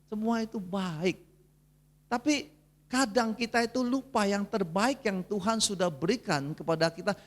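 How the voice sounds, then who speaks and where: A man of about seventy, preaching a conversational, folksy sermon and checking in with his congregation. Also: high-pitched. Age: 69, nearly 70.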